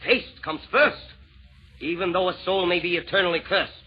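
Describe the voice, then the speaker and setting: An actor playing a vain, affected emperor with a husky voice, in rhymed 1940s radio drama. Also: male voice, sits high. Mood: fussy, petulant. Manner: affected, fastidious. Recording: dull 1940s radio recording.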